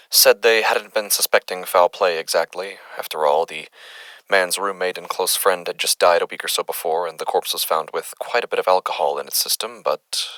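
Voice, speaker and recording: A man playing an unsettled doctor who records his findings haltingly, as a horror podcast monologue. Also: deep voice, sharp tone, dry recording. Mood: grave, measured. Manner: halting, hesitant, stammering. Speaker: lead character of a horror audio drama.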